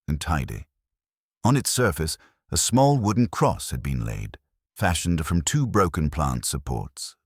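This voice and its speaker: A man narrating a scripted mystery story in a measured, literary manner with a wry touch. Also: very deep voice, husky, no room sound, clean studio sound.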